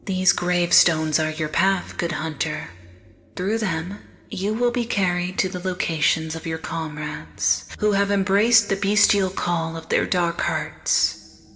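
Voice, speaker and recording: A woman voicing a serene, mysterious porcelain doll who guides in a gothic audio drama, with measured, formal and grave delivery. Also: fairly low pitch, pushed and bright. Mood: grave, guiding. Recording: music behind the voice.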